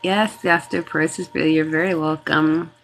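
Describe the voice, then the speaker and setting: A woman's voice: low-pitched, steady tone, moderate pace, over music, low-quality recording. A woman hosting a reiki livestream, greeting and thanking viewers by name in a casual, chatty way while congested and sniffly from a cold.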